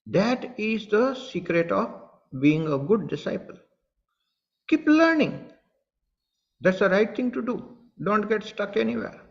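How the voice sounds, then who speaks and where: A man's voice: sits high, lively intonation, slow pace, gravelly, highs cut off. A man with an Indian English accent, a spiritual teacher speaking earnestly to online followers, repeating his points and addressing them directly. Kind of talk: satsang talk to online followers.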